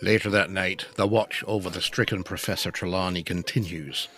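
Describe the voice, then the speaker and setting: Solemn deep gothic storyteller, audio drama narration, faint music behind. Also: male voice, fairly even intonation, normal speed, pushed and bright.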